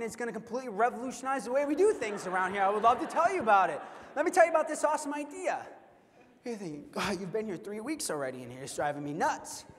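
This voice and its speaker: A man in his thirties giving an energetic keynote talk on a large convention stage, speaking into a headset mic and acting out quoted reactions. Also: extremely high pitch. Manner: animated, acts out quoted reactions, repeats words when excited. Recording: long hall reverb.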